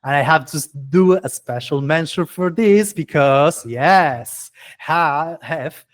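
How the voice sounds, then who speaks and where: A man's voice: a little high, takes it slowly. Enthusiastic man hosting, English speaker. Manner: enthusiastic, chatty, non-native English phrasing. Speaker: meetup host and presenter. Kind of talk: casual, informal event presentation.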